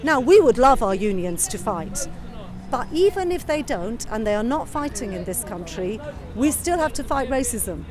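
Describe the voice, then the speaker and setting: A woman's voice: on the high side, sing-song, measured pace. A woman activist speaking earnestly in a street interview. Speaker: anti-racism activist. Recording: crowd noise outdoors.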